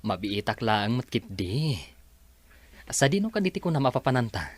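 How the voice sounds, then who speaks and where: A man's voice: medium pitch, big pitch swings, normal speed, no echo. A man voicing a bossy, teasing employer in a radio drama. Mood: casual.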